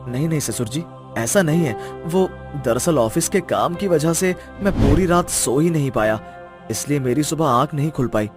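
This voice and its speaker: A man's voice: on the high side, expressive, moderate pace, over music, natural voice. A man playing a meek young son-in-law, earnest, deferential and pleading with his elders in a story. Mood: apologetic, pleading.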